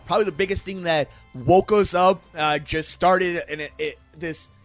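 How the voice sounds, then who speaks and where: A young man co-hosting a casual podcast chat, excitable, hedging and restarting his sentences. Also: high voice, moderate pace, faint music behind. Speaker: podcast co-host.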